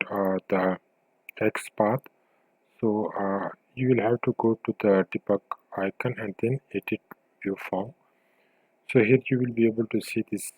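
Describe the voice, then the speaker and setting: A man presenting a screencast software tutorial as a developer, explaining step by step in halting, pieced-together phrases. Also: lowish voice, slightly rough, no echo, low-quality recording.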